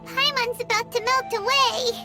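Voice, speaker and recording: A woman voicing a tiny, naive, excitable childlike companion in a video game, with a squeaky little-girl voice. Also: moderate pace. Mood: weary, whiny. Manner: squeaky, childlike, refers to self in third person. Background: music.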